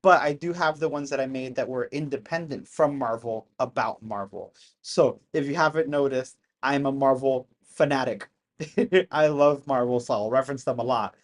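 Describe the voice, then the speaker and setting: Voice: mid-range voice, normal speed. A person in their thirties chatting casually with live-stream viewers from home in the countryside, rambling and laughing often. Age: 30s.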